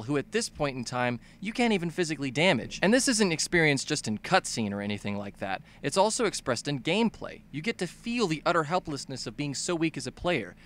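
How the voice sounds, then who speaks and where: A man doing excitable comedic video game commentary, emphatic and full of swearing. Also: a little high. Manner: emphatic, sweary, bursts into shouting. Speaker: video essay narrator, fan dubbing a game review.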